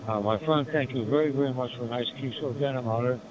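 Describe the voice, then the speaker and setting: A man's voice: mid-range voice, fast talker. Ham operator, man, ordinary voice, courteous and short, noisy dull signal. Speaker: radio amateur. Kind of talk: ham radio contact. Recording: muffled noisy radio audio.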